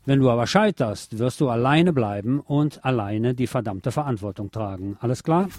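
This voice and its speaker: A man's voice: medium pitch, lively intonation, moderate pace, darkish tone. A man presenting a scripted film review as a radio host.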